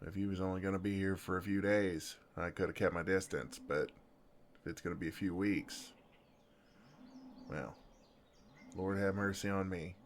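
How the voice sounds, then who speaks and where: Low-voiced, soothing Southern farmhand, acted by a man. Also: close to an everyday voice. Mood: flirtatious, soft. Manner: easygoing, folksy, soothing drawl. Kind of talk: intimate ASMR roleplay acting.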